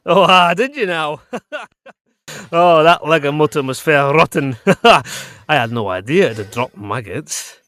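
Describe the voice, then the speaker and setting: An actor playing a gleeful, sly old smuggler captain with a rural English accent, speaking in dialect with chuckling relish in an audio drama. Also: male voice, a little high, a little slow, sharp tone, dry recording. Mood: gleeful confession.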